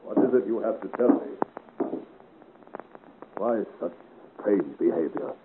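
Deep-voiced gallant soldier hero. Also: male voice, animated, slow. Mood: puzzled, questioning. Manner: formal, declamatory. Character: gallant, indignant young French soldier hero. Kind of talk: 1950 radio serial acting. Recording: narrow-band, hissy 1950s radio recording.

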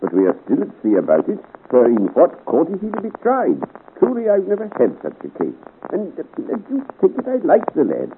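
An actor playing a shrewd, deferential French royal police chief with formal, measured diction in a 1950s radio serial. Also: male voice, a little high, wildly swooping pitch, narrow, tinny audio. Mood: troubled. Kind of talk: 1950 radio serial acting. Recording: scratchy, thin, noisy 1950s radio recording.

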